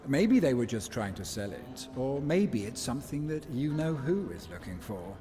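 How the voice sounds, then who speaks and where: A shrewd male schemer from a sci-fi game, played by an actor. His mid-range voice moves in wide, insinuating swings. He is measured and wry, with music playing behind him. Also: medium pitch, big pitch swings, mellow, close to an everyday voice. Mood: calm, calculating. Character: smooth, shrewd male schemer offering bounty deals. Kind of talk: video game cutscene acting.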